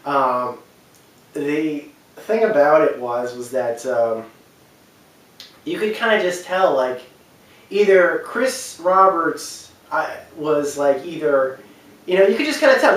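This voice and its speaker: A man giving an unscripted, rambling opinion rant, repeating his points for emphasis. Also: on the high side. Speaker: online video commentator. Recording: echoey room, distant from the mic.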